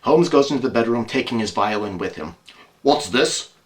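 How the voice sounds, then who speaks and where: Animated man narrating informally. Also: lowish voice, quick pace. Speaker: narrator and solo performer. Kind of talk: informal amateur audio drama narration.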